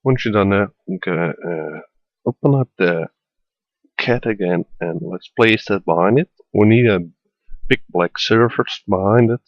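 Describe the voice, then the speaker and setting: Dutch man, tutorial voiceover, halting with fillers, unhurried, dead dry sound. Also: on the low side, no room sound, dull audio. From Netherlands. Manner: hesitant, many fillers. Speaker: tutorial maker. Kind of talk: screen-recorded software tutorial voiceover. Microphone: voiceover at a computer.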